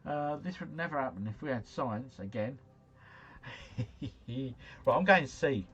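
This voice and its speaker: A man's voice: medium pitch, animated, a little slow, a bit bright, breathy edge, muffled recording. A man hosting a livestream, playing a quiz game while chatting with viewers, reading out their messages, and stumbling over foreign names. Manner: chatty, jokey, reads chat messages aloud, mumbles foreign names. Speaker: livestream host.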